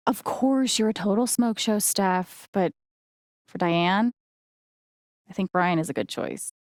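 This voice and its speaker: An actress playing a friendly, wry and earnest young woman in a video game, talking in a casual, gently teasing way. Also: on the low side, no echo, clean studio sound. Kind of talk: naturalistic video game dialogue acting.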